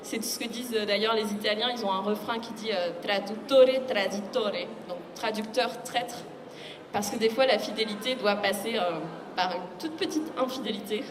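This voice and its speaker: A woman's voice: medium pitch, moderate pace. A woman giving thoughtful explanations as a guest translator in a conversational public discussion. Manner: thoughtful, explanatory, illustrates with quoted asides. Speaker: literary translator, guest speaker. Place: public book fair talk. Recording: echoey hall, loud ambient noise.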